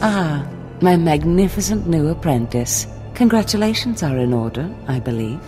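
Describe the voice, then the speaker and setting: A woman's voice: low voice, animated, moderate pace, mellow, soft background music. A woman playing a poised, menacing, condescending Sith lord in a video game, with a theatrical, mock-sweet manner. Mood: smug approval. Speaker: villainous mentor.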